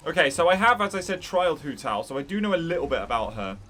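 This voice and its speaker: A man's voice: on the high side, animated, quick pace, sharp tone. A man hosting a casual game playthrough, chatty and enthusiastic as he reacts aloud. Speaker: gaming video host.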